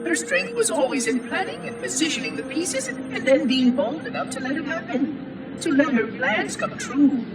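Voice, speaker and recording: A fussy, lonely robot caretaker from a video game, speaks in a high synthetic voice. It muses in formal, wordy sentences as its mind slowly frays. The sound is echoing and distant, with loud music behind it. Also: male voice, sing-song, a loud crowd. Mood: wistful, uneasy. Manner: formal, verbose, musing monologue. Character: lonely, fussy, unravelling ancient robot caretaker of a ring installation. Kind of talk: scripted video game cutscene narration.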